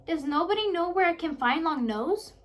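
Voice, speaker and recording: A woman's voice: extremely high pitch, lively intonation, moderate pace, far from the mic, soft background music, voice pushed up. A woman voicing an eager, brave young girl heroine in a children's storybook. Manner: eager, pleading.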